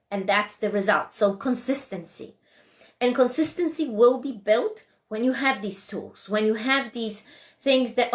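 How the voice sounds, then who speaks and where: A woman with a Polish accent, a non-native English speaker, giving an earnest motivational talk to viewers of a live stream, restarting words and repeating phrases. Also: mid-range voice. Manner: earnest, repetitive, frequent restarts. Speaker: host and coach.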